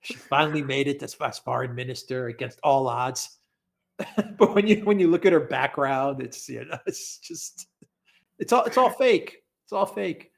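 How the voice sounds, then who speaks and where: A man of middle pitch chats loosely as a guest on a live stream. He repeats his words as he goes and laughs often between thoughts. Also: moderate pace, vocal fry. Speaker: guest commentator. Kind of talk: casual live-stream chat.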